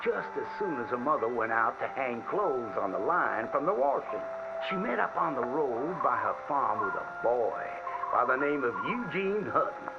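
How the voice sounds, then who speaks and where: A man's voice: mid-range voice, creaky, dull audio. A man acting as a folksy storyteller, telling a spooky cautionary tale with dramatic, old-fashioned diction. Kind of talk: theatrical radio-style storytelling. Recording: band-limited old radio sound, loud music behind.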